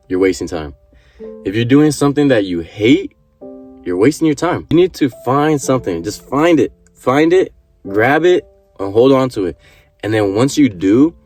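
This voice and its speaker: A man's voice: mid-range voice, a little mellow. A man in his early twenties giving an earnest motivational talk to camera, repeating his points and swearing casually. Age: 20s. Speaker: vlogger.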